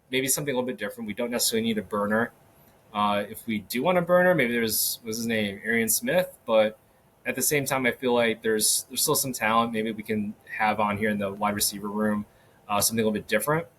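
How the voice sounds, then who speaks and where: A man hosting a solo sports podcast, talking casually with many fillers and restarts, his voice a little hoarse. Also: on the low side, a bit bright, slight background noise. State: slightly hoarse. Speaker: podcast host. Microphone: podcast microphone.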